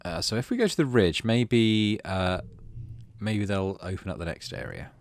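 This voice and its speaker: A man's voice: fairly low pitch, expressive, normal speed. A man hosting a gaming video, casually narrating and commenting as he thinks aloud. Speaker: gaming channel host.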